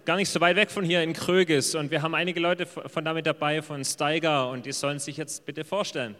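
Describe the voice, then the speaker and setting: A man's voice: on the high side, steady tone, fairly bright tone. A man interpreting a speaker live for a church audience, often restarting mid-phrase. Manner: quick, with restarts and fillers while translating. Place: church hall. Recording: reverberant hall.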